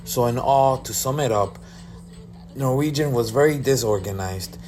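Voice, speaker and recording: A man's voice: medium pitch, moderate pace, darkish tone, faint music behind. A man narrating a cruise review voice-over in a blunt, complaining way.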